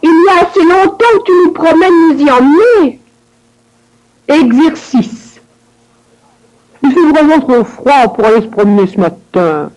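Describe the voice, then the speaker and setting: A woman reading scripted dialogue for a language course with clear, careful enunciation. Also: sits high, lively intonation, a little slow, pauses often, a little mellow, rough recording.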